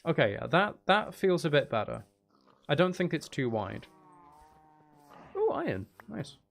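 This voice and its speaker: A man's voice: a little high, very animated, a little slow, creaky. A man giving casual live commentary while streaming a video game, muttering wry, exasperated asides to himself.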